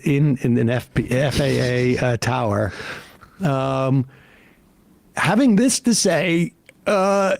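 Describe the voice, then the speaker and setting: An American man in his late fifties or older giving casual political commentary as a show host, halting and wry. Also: fairly high pitch, darkish tone, no echo. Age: late 50s or older.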